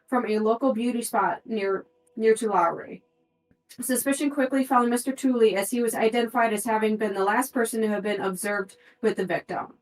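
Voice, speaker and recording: A woman playing a tabletop game, talking through clues and reading handouts aloud, sometimes stumbling over words. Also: on the high side. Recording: roomy echo, distant from the mic.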